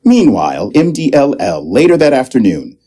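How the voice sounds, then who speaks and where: A man's voice: medium pitch, sing-song, normal speed, mellow. A man narrating a scripted comedy audio drama, setting each scene.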